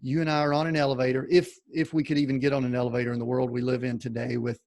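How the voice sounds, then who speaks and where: A man's voice: medium pitch, flat delivery, rapid-fire, darkish tone. A man hosting a podcast, asking chatty, rambling questions in a folksy way. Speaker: podcast host. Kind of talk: conversational interview hosting.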